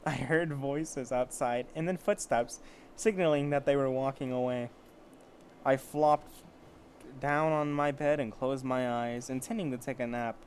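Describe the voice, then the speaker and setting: A man's voice: mid-range voice, fairly even intonation, unhurried, darkish tone, slight background noise. A man casually reading amateur fiction aloud, with sarcastic, foul-mouthed asides. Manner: sarcastic, profane commentary. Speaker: amateur story reader.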